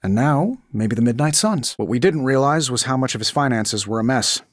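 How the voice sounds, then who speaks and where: An actor in a video game playing a cocky, quick-witted billionaire inventor superhero with a sardonic, glib, conversational delivery. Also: male voice, medium pitch, expressive, no echo, studio quality, lightly acted. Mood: wry, explaining.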